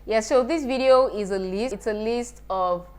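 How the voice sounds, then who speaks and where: A woman's voice: a little high, normal speed, a little mellow, reverberant. A young woman from Nigeria, chatting casually to camera in her vlog and repeating words for emphasis. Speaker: vlogger.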